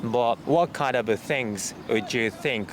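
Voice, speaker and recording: Japanese man interviewing on a noisy street. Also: mid-range voice. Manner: eager, lots of quick yeah-yeah backchannels. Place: busy city street outdoors. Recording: outdoor street noise.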